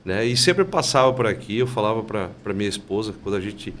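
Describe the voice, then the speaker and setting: A man, a bank manager guest on a podcast, telling his story calmly and often tagging his sentences with "né?". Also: lowish voice, a little fast, slight background noise. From Santa Catarina, Brazil. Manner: calm, storytelling, frequent "né?" tags. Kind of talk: casual podcast interview.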